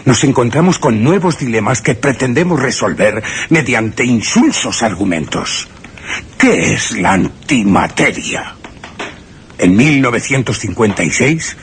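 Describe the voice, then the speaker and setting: Crazed genius villain for radio theatre, castilian spanish, low gravelly voice, theatrical and slow, bit of noise behind. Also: male voice. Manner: theatrical, grandiloquent, with halting dramatic pauses. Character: deranged, arrogant scientist threatening his friend at gunpoint. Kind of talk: Spanish suspense and horror radio theatre acting.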